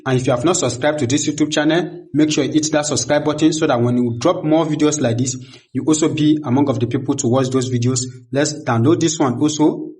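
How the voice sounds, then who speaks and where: A man's voice: on the high side, steady tone, a little fast, darkish tone. A man hosting a step-by-step screen tutorial, narrating each click aloud in a repetitive, instructional way. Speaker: tutorial host.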